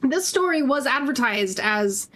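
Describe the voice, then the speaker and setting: A woman's voice: high-pitched, lively intonation, measured pace, a bit bright. A woman co-hosting a comedy show, reading stories aloud and commenting casually. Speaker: fanfiction show co-host.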